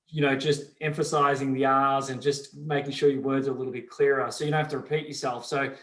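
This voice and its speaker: A middle-aged man with a softened Australian accent, answering interview questions in a measured, explanatory way with frequent fillers. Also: medium pitch, little pitch movement. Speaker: company CEO, guest. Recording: roomy echo.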